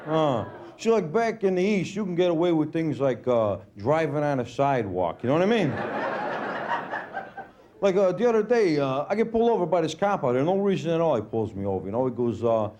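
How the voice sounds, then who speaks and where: A middle-aged man with a Brooklyn accent doing a laid-back, deadpan stand-up set, full of "you know" and "uh" fillers. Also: fairly high pitch, brisk. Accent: Brooklyn New York. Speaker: stand-up comedian. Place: comedy club stage with laughing audience. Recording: live club recording with audience laughter and room noise.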